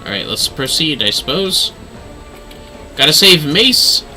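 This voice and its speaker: A man's voice: on the high side, very animated, a little slow, sharp tone, soft background music. A man livestreaming a video game with casual running commentary.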